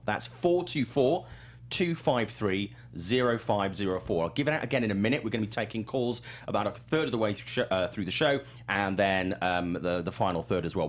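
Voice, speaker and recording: A man hosting a movie news talk show, chatting casually in an informal, wry and blunt way. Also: fairly low pitch. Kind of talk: casual panel chat.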